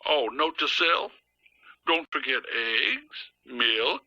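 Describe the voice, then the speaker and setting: A man voicing a smug, scheming lawyer villain in an animated game, with a pompous, drawn-out, gloating delivery. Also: mid-range voice, no room sound, dull audio, heavily acted.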